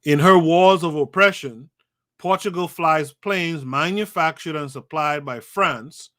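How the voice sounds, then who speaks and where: A man's voice: on the high side, bright, forceful tone. Emphatic man reading aloud on a livestream. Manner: emphatic, repeats phrases for effect; talks to a live chat audience. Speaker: podcast host. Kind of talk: reading a book aloud with live commentary.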